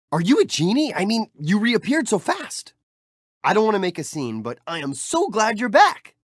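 A cheerful young street-thief hero from a video game, chatty and playful as he cheers the player on. His voice swoops widely up and down with bright youthful bounce. It is recorded dry and close on a studio mic with no room sound. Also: sits high, no room sound, full character voice. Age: young adult. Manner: friendly, playful, upbeat and encouraging. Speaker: game character.

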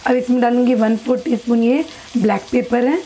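Mellow-voiced woman walking through a recipe. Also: on the high side, fairly even intonation, a little hiss or hum, low-quality recording. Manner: unhurried, repetitive instructional talk. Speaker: cooking channel host. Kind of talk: step-by-step cooking demonstration. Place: home kitchen at a gas stove.

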